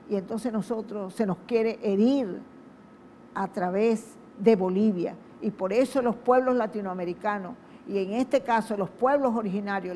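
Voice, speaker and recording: A woman's voice: mid-range voice, warm tone, a little hiss or hum. A Venezuelan woman hosting a TV program, introducing her guest in a solemn, emphatic, speech-like manner. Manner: solemn, emphatic, repetitive phrasing. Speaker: TV program host. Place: outdoors at a public event.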